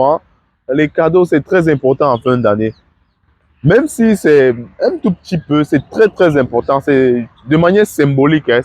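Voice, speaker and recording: Man with the flu, earnest vlog monologue, slightly high voice, dry close sound, repeats himself often. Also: a little mellow, dry recording. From Africa. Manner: repetitive, stammering, fillers like "hein"; earnest, advising. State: has the flu. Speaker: vlogger. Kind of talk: casual monologue to followers.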